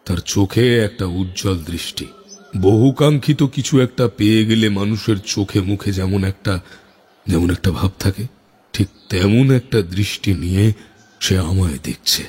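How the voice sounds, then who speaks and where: Brooding tantric mystic, dark husky voice, measured, suspenseful, horror audio drama. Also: male voice, fairly low pitch. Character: male tantric mystic recounting his eerie supernatural encounters. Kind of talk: first-person horror storytelling in audio drama.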